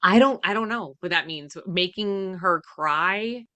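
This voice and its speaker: Female podcast co-host, informal reaction chat, voice a little on the low side. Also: measured pace, pushed and bright.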